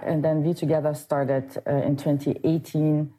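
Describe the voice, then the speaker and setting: A woman in her thirties with a low voice speaks as an interview guest. Her tone is mellow and dark. She answers in a measured way, pausing often on "uh" and "um". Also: little pitch movement, low-quality recording. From Germany. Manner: measured, with frequent "uh" and "um" pauses. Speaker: crypto wallet co-founder, guest.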